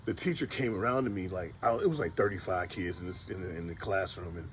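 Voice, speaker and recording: A middle-aged man speaks in a very low, deep register. He talks in a relaxed, rambling vlog style, stopping to restart his sentences as he remembers. A faint ambient hum sits behind him. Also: fast. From Detroit, USA. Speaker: vlogger. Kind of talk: casual storytelling monologue.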